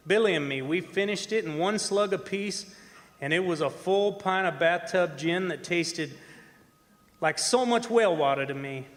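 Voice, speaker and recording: Male actor as folksy roughneck storyteller, somewhat high-pitched, colloquial, halting, radio horror play, long hall reverb. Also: natural voice. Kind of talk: radio horror drama performance, first-person narration. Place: performing arts center stage. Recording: echoey hall stage recording with music.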